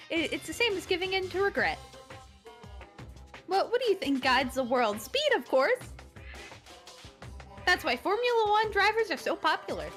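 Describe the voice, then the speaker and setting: A pompous, impatient bear from a cartoonish game, put on by a woman. The pitch is high and swings around a lot as it lectures emphatically, with music behind. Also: sharp tone, heavily acted.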